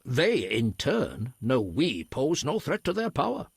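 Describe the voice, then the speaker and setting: A man playing a serene, courteous druid in a video game, gentle, formal and unhurried. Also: mid-range voice, wildly swooping pitch, creaky, breathy edge, no echo, studio quality, exaggerated voice. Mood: grave, regretful. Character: serene, courteous male druid elder, lover of nature. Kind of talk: video game dialogue acting.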